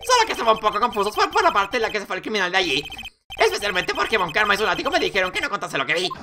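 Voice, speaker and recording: A performer voicing an indignant, excitable male circus clown in a game, theatrical and exclamatory. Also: medium pitch, rapid-fire, over music, pitched above the performer's own voice. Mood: defensive. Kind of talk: video game dialogue read aloud with character voices.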